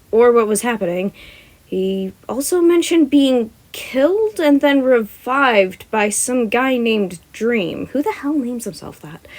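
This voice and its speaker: A woman's voice: medium pitch, a little mellow, rough recording. A young adult woman with a mid-range voice speaks informally, like someone reading fan fiction for her own listeners. Her delivery is casual and unpolished, with small stumbles and self-corrections. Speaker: podfic reader and channel host. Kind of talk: casual chatty intro and amateur story narration.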